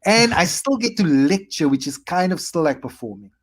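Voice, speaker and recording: A South African man in his forties, a comedian telling his story in a casual interview and acting out his past self in quick asides. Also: high voice, sing-song. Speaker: comedian guest.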